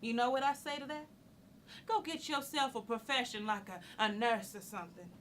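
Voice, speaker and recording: A woman's voice: a little high, normal speed, fairly bright tone, a little hiss or hum, natural voice. An actress playing a proud, outspoken, worldly radical woman from a small Southern town, telling her story emphatically in a stage monologue. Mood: worldly, assured. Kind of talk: theatrical monologue.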